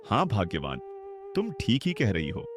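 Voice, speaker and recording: A man's voice: on the low side, wildly swooping pitch, normal speed. A man playing a despairing poor farmer. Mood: lamenting. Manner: pleading, sorrowful. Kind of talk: cartoon voice acting. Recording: music behind the voice.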